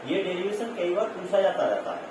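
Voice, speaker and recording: Male physics teacher, slightly high voice, explanatory lecture delivery, heard from a distance with heavy room echo. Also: sing-song, brisk. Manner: explanatory, repetitive, with check-in tags. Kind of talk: classroom lecture mixing in English terms. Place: echoey room. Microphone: distant microphone. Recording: very echoey, distant mic, clear noise.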